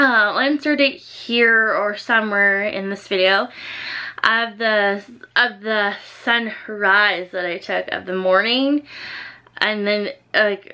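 A young woman telling a casual vlog story in an emphatic, chatty way, peppered with filler "like". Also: mid-range voice. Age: young adult. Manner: animated, emphatic storytelling with frequent "like". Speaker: vlogger. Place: small bedroom indoors.

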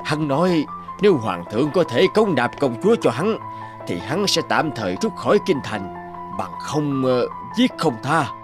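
A man's voice: medium pitch, big pitch swings, normal speed, lightly acted. Guy playing cartoon royal minister, formal n pleading, bowing and scheming, music in back. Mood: persuasive, grave. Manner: formal, persuasive. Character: deferential scheming court chancellor bearing grave news. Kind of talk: cartoon folk tale dialogue.

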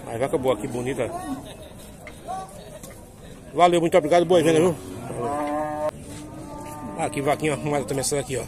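A man's voice: fairly high pitch, fairly quick, pauses often. A man vlogging at an outdoor cattle fair, chatty and upbeat, asking sellers their prices on a phone mic. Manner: upbeat, chatty, asks prices and praises the cows. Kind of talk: casual walk-and-talk interviews. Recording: outdoor phone recording with crowd around.

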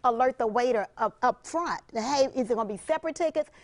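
Casting: American female expert guest, high-pitched voice, instructing on a talk show panel. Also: fast. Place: TV studio.

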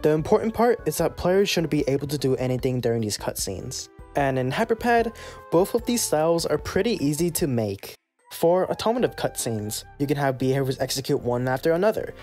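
A casual, playful host narrating a scripted software tutorial. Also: medium pitch, expressive, brisk, soft background music.

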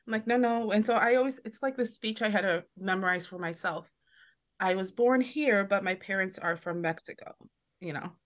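A woman's voice: medium pitch, normal speed. A woman guest on a podcast, talking at length in a casual, storytelling way. She restarts her sentences often and slips into the voices of the people she quotes. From United States. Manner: chatty, many restarts, acts out quoted voices. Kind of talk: casual interview chat.

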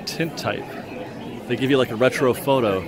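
A man's voice: medium pitch, moderate pace. A man hosting a casual walk-around vlog, chatting as he goes. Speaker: vlog host filming a tour. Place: busy expo at a hotel. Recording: loud music and crowd chatter behind. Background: loud music and crowd noise.

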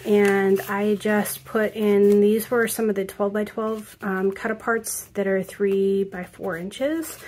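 A woman calmly narrating a walkthrough of a handmade album, explaining each step with fillers. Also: medium pitch, slight background noise. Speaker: craft video creator. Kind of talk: casual craft walkthrough narration.

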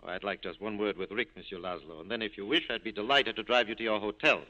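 A man's voice: on the low side, quick pace, bright, forceful tone. A man playing a genial, urbane, sociable character in a 1940s radio drama. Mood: genial, amused. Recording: tinny old 1940s radio recording.

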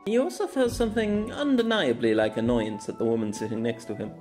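A man reads his own fantasy novella aloud in an expressive storytelling manner, his pitch at an ordinary middle level. A faint music bed runs under his voice through this amateur audiobook recording. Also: fairly quick. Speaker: narrator.

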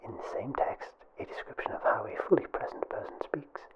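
A young man narrating a scripted ASMR information film in a soft, breathy whisper with a formal, deliberate, mock-official delivery. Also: dull audio. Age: young adult. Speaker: ASMR video creator, film presenter.

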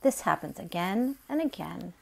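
Expressive middle-aged woman reading aloud. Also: on the low side, creaky, slightly rough, poor audio. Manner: expressive, deliberate storytime delivery. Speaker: story time host, garden educator. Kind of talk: read-aloud of a children's nonfiction picture book.

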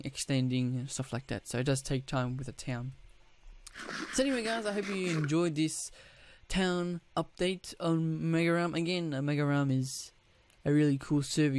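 A man with a croaky, just-woken-up voice, giving casual gameplay commentary, rambling with restarts and throat clearing. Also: fairly high pitch, darkish tone. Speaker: gaming YouTuber.

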